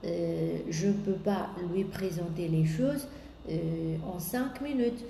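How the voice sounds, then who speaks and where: Woman sales coach, mellow tone, lots of euh pauses, echoey room w faint hiss. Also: fairly low pitch, gravelly. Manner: hesitant, many drawn-out "euh" fillers, repetitive lists. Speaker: business coach. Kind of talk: motivational sales coaching talk.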